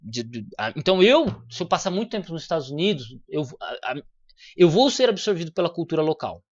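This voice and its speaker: A man's voice: a little high, very animated, a little fast, muffled recording. A Brazilian man with a Brazilian Portuguese accent, hosting a casual opinion monologue in a blunt, slangy manner with free swearing. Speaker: channel host.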